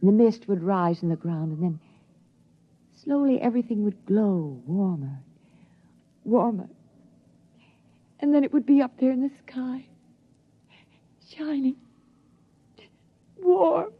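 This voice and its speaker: An actress playing a frail mother longing for the lost sun, with a breathy, trembling voice, in a 1950s radio drama. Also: a little high, expressive, takes it slowly, long pauses, heavily acted. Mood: dreamy. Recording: dull 1950s radio broadcast.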